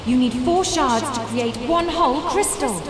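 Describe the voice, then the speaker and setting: A woman playing an earnest young female guide in a fantasy game, speaking plainly over loud background sound. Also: sits high, a little slow, echoey room, a crowd in the background. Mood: earnest, instructive. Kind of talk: scripted fantasy game dialogue.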